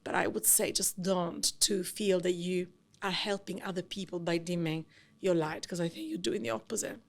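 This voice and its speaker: A middle-aged woman with an Italian accent, a company founder talking as a guest in a podcast interview, effusive and animated, running her thoughts together. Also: fairly low pitch, moderate pace. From Italy. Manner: effusive, animated, talks in long run-on thoughts.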